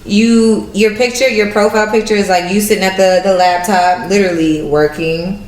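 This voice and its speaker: A woman hosting a podcast, chatty and bubbly, laughing often. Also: normal speed. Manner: bubbly, laughs often, rising excited pitch. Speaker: podcast host. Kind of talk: casual interview chat. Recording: echoey room.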